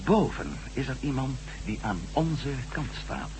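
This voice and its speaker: A determined ex-astronaut turned reporter in a radio drama. His mid-pitched voice swings in lively fashion, confident and set on action. The old recording carries a faint hum and noise. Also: a little slow. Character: resolute ex-astronaut reporter, confident adventurer hatching plans. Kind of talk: Dutch radio drama acting.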